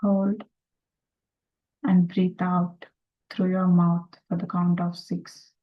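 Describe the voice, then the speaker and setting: A woman leading a guided meditation in a calm, deliberate, soothing way, with pauses between short phrases. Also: lowish voice, highs cut off. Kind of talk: slow guided meditation instruction. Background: meditation music.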